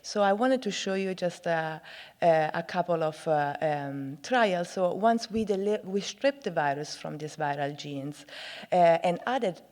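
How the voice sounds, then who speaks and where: A woman's voice: fairly low pitch, lively intonation, measured pace, a little mellow. A woman from Italy with an Italian accent giving a friendly, hesitant research talk on a panel. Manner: friendly, joking, hesitant with fillers. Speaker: research grant speaker. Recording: reverberant conference hall.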